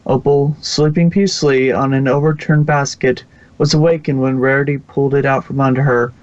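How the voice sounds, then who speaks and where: A man's voice: mid-range voice, fairly even intonation, brisk, darkish tone, dry recording, highs cut off. A man reading fan fiction aloud as the narrator, close to the microphone.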